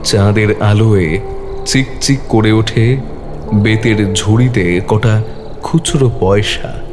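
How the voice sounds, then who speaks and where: A man's voice: lowish voice, takes it slowly, music in the background. A man narrating a scripted horror story dramatically, in short, suspenseful phrases.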